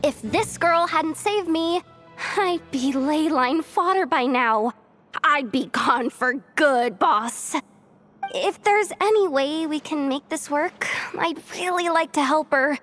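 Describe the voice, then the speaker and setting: A woman's voice: sits high, lively intonation, slow, a bit bright, faint music behind, exaggerated voice. A woman playing an earnest young female employee in a video game, timid and hesitant before her boss. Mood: timid pleading. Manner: hesitant, pleading. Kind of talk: English video game dub.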